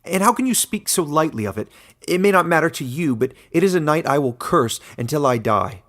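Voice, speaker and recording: A man's voice: a little high, big pitch swings, brisk, a bit bright, pitched above the performer's own voice. A man voicing an exiled village boy in a fantasy story, earnest and wounded, with a strained, edgy delivery. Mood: bitter, sharp.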